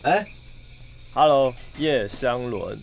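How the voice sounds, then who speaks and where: A man's voice: mid-range voice, slow, creaky. An actor playing a brash young male sidekick at school in a radio drama. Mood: teasing. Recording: noisy ambience behind.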